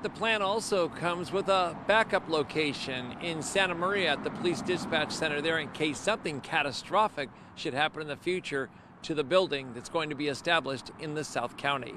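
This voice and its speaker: A man's voice: fairly high pitch, normal speed, few pauses, background noise. An American man of mature age delivering a scripted TV news report as a field reporter, speaking into a handheld microphone. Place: outside a fire station on a street. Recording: outdoor live report with street ambience.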